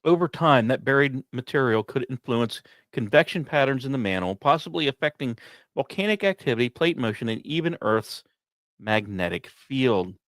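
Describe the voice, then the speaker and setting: A man hosting a podcast, reading scripted science narration in a measured, explanatory way, recorded close. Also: medium pitch, expressive, fairly bright tone, no echo. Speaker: podcast host.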